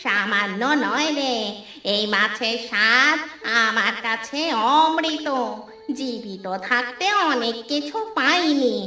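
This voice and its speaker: High, echoing ghost woman. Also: bright, forceful tone. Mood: calm, fond. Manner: menacing, drawn-out commanding threats. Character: vengeful but loyal fish-loving female village ghost. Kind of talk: Bengali horror cartoon voice acting. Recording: roomy echo, distant, music behind.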